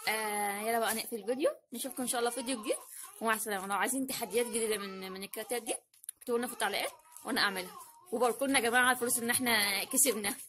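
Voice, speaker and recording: A woman with an Egyptian Arabic accent chatting casually as host of an unboxing vlog, repeating phrases for emphasis in an animated way. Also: on the high side, racing. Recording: noisy home recording, crinkling packets.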